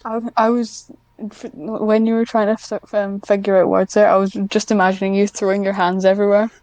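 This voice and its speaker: A woman's voice: medium pitch, level tone, brisk, a little mellow, clean tone, dry recording. A woman chatting casually as a podcast guest, hesitant and self-deprecating, with a dry sarcastic streak. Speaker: podcast guest, ASMR script writer. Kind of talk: casual interview chat.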